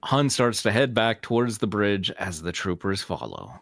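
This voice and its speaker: A man's voice: on the low side, sing-song, normal speed, vocal fry, a bit bright, no echo, studio quality. A man hosting and narrating a casual comedic fan fiction reading, informal and joking in manner. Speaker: podcast host and narrator.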